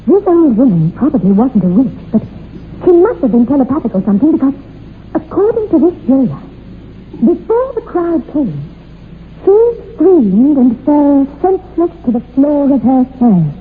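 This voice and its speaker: An actress with a South African accent playing a troubled woman who recounts a dark family secret in hesitant, earnest, dramatic radio-play style. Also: high-pitched, sing-song, normal speed. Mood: grave, haunted. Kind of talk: radio horror drama acting. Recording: muffled lo-fi old radio, faint noise.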